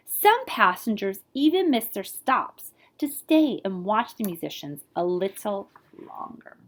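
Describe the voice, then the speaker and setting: A woman reading a picture book aloud to children as a librarian, expressive and playful, with asides to her listeners. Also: mid-range voice, vocal fry. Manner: animated, playful with scat-like sound words.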